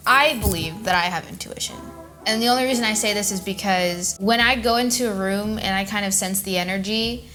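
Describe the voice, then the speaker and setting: Chatty teenage daughter, playful and animated. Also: female voice, medium pitch, fairly quick, faint music behind. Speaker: daughter, co-host. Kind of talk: casual chat.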